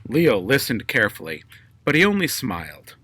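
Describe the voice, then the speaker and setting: A man's voice: mid-range voice, wildly swooping pitch, normal speed, fairly bright tone, slightly rough, no echo. A man reads a children's story aloud as a storyteller, in narrated prose.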